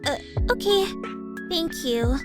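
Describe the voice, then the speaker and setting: A woman's voice: very high-pitched, lively intonation, slow, full character voice. A woman playing a small, timid, anxious youngster who is easily flustered, in a fan audio drama. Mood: timid, unsure. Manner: hesitant, flustered. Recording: music under the voice.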